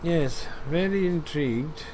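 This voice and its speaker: A man's voice: a little high, a little slow, a little mellow, slight background noise. Rambling man narrating gameplay. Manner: rambling, self-deprecating, many fillers. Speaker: gaming video creator. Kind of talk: casual gameplay commentary.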